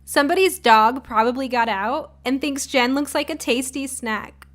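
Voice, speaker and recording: A woman's voice: high-pitched, normal speed, voice pushed up, close to an everyday voice. A woman voicing a teasing, confident teenage girl in an audio short story.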